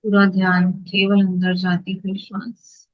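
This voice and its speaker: Meditation leader: level, little pitch movement, short phrases with long pauses, dry but dull lo-fi capture. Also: monotone, no room sound. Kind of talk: guided meditation instruction.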